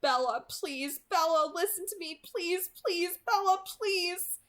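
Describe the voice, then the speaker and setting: A woman voicing an anguished young man who pleads in a high, breaking, drawn-out voice, in a story read aloud. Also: a bit bright, exaggerated voice. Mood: pleading, horrified. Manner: pleading, drawn-out emotional cries.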